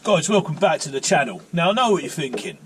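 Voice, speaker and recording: A man's voice: a little high, sing-song, fast, background noise. A man, hosting a casual vlog and chatting in a rambling, self-mocking way. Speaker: vlogger. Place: outdoors and in a car on a rainy day.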